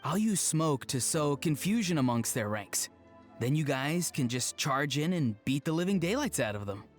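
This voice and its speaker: A keen young male fighter from a video game, with a fairly high voice. He sounds helpful and ready to scheme, with faint music behind him. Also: very animated, moderate pace. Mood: eager.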